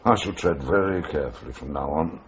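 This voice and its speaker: An actor playing a sly, self-satisfied British freelance journalist in a 1980s radio drama, evasive in manner. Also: male voice, rumbling low, fairly quick. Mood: guarded, cagey. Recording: dull, band-limited radio broadcast.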